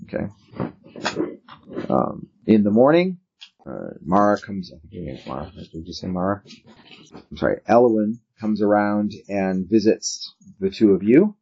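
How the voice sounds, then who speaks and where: A man working as game master, narrating a tabletop role-playing game in a halting way, thinking aloud as he goes. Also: fairly low pitch, expressive, creaky, warm tone, breathy edge. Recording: dull, lo-fi sound.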